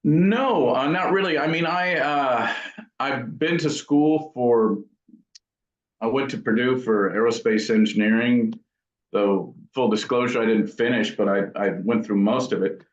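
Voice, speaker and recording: A man's voice: medium pitch, a little slow. A middle-aged man giving casual, thoughtful interview answers as an amp builder, hesitating as he reminisces. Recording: roomy, dull lo-fi sound.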